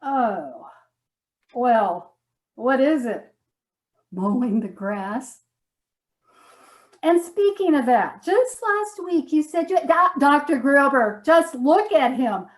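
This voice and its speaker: A woman's voice: high voice, lively intonation, slow, long pauses. A woman playing a nagging, self-righteous wife in a comedy sketch, dramatic and exasperated, often repeating herself. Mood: indignant. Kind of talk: readers theater comedy sketch.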